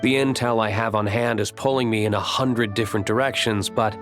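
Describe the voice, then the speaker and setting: A man's voice: on the low side, steady tone, brisk, lightly acted. A man voicing a reserved, businesslike character in a video game, with a dry manner. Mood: dry, weary. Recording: clear music under the voice.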